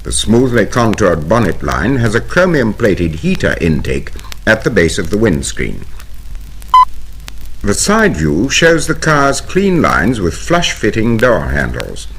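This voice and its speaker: A British man reading a scripted instructional narration in a formal, precise, measured way, from a 1970 vinyl record soundtrack. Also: on the low side, huge pitch swings, a bit bright, a little hiss or hum, low-quality recording. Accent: British English. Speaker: training film narrator.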